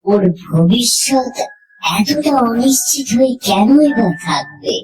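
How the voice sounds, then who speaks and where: ধীর লয়ে ভূতের গল্প বলা নারীকণ্ঠ. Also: female voice, a little high, animated, a little mellow, a little hiss or hum, poor audio. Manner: suspenseful, with dramatic pauses. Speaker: horror story narrator. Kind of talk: scripted dramatic first-person storytelling. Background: music.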